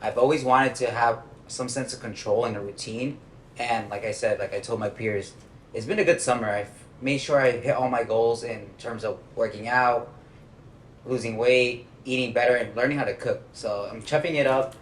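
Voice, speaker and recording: A man's voice: medium pitch, steady tone, moderate pace. Young male student co-host, easygoing and thoughtful, mid-range voice, roomy echoey recording. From United States. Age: young adult. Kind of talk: casual podcast chat. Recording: echoey room, faint noise.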